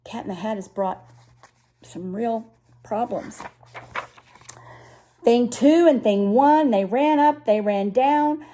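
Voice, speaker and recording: Older woman reading a storybook, high mellow voice. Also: slow pace, long pauses. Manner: expressive, explaining to a child. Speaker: grandmother reading aloud. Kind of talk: children's storybook narration with asides.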